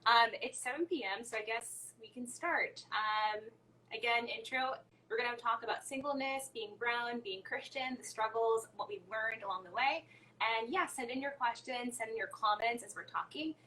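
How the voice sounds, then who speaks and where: A woman's voice: on the high side, normal speed, pushed and bright. A woman hosting a casual livestream chat in an informal, upbeat way. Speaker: host. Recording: noisy livestream audio with hum.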